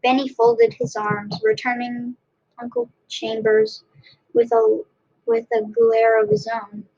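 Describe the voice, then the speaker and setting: Slow, high-voiced woman reading aloud, creaky edges. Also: big pitch swings, darkish tone, dull audio. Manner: halting, repeats and restarts phrases while reading. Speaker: story reader. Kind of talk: read-aloud storybook narration.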